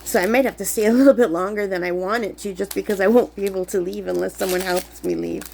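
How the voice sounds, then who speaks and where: A sick woman, chatting in a rambling way while crafting, her voice lower than usual. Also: steady tone, fast, creaky, a little mellow, a little hiss or hum. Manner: chatty, rambling, many fillers. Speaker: crafting vlogger. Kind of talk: casual chat while crafting. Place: camp cabin indoors.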